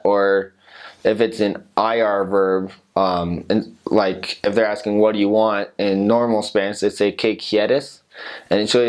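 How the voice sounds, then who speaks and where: An American man casually explaining things to the camera, chatty, with lots of fillers and asides. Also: lowish voice. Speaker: former missionary.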